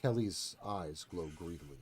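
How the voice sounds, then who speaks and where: A man's voice: low voice, animated, normal speed, darkish tone, breathy edge. A man giving a dramatic scripted narration, reading stage directions as narrator.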